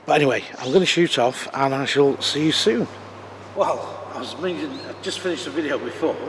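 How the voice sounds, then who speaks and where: A British man with a cold-roughened, croaky voice chats casually to camera, trailing off into ums and restarts. He films himself in the foyer of a large exhibition hall. Constant background noise from the venue sits clearly under his voice. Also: on the high side, brisk. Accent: British English. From United Kingdom. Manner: chatty, rambling, with many ums. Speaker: vlogger. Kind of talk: casual walk-and-talk vlog.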